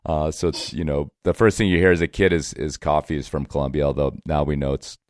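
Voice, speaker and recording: A man with a low, deep voice hosts a podcast in a casual, conversational style. The sound is dry, with no room around the voice. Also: little pitch movement, fast, no room sound. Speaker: podcast host. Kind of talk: casual chat.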